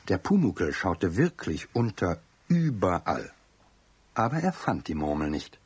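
A man narrating a children's radio play as storyteller, expressive and addressing young listeners directly, with a deep, husky voice. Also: measured pace.

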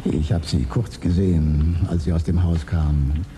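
An actor in his forties playing a man who grew up poor, speaking in a slow, brooding way in a radio drama. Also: deep voice, fairly even intonation, noisy background. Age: 40s. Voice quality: gravelly. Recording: studio with music underneath.

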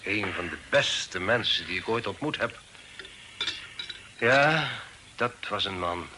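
Genial older gentleman, lowish bright voice, formal, fatherly, radio play, faint noise. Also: lively intonation, slow. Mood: reflective. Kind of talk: radio drama acting.

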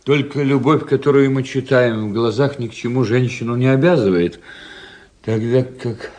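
A man's voice: medium pitch, moderate pace, darkish tone, close to an everyday voice. An actor playing a skeptical doctor in a radio drama, wry and needling in the way he talks. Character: dry, skeptical, sardonic military-town doctor.

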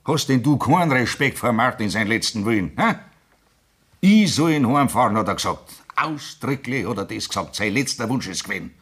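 An actor playing a gruff Bavarian man with a Bavarian accent who drives a sleigh through the snow in a radio play. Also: medium pitch, expressive, a little fast, fairly bright tone, no echo. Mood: stubborn. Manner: gruff, blunt, grumbling. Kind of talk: 1960s radio play acting.

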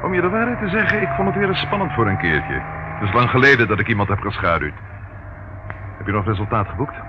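An actor playing a genial, curious gentleman in a radio play, breezy and familiar. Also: medium pitch, sing-song, fast talker, natural voice. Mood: breezy, amused. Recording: muffled radio recording, loud background noise.

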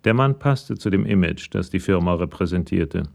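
A man's voice: sits low, animated, moderate pace, vocal fry, darkish tone. A man narrating a scripted radio crime drama in a matter-of-fact manner.

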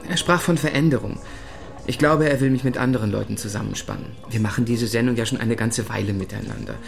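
An actor as hesitant, soft-spoken radio man, Xavier. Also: mid-range voice, fast talker, vocal fry, faint music behind, close to an everyday voice. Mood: careful, apologetic. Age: middle-aged. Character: Xavier, soft-spoken eccentric radio presenter in his thirties. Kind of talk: German radio drama acting.

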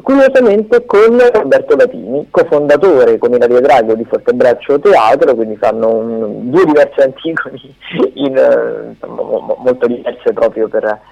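Guy with a creaky, gravelly voice giving theatre listings on radio, kinda hesitant, fixes himself as he goes. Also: medium pitch, lively intonation, dark tone, lo-fi sound. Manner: hesitant, halting, self-correcting. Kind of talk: unscripted radio chat, event rundown.

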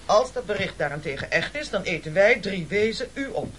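Through clear noise and clicks comes a pompous castaway boss in a radio play. He bosses and accuses in formal, oratorical phrases, with lively swings of pitch. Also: fairly quick. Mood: pompous threatening. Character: domineering, pompous male castaway leader plotting cannibalism. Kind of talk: absurdist radio play acting. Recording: noisy, clicky old radio recording.

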